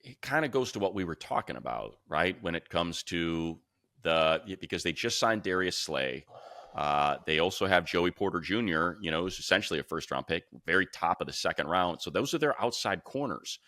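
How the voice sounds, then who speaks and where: Male sports announcer, very low pitch, dry close sound, informal conversational chat, rambling asides. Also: animated, moderate pace, sharp tone, no echo. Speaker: sports radio announcer and co-host. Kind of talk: casual sports podcast chat.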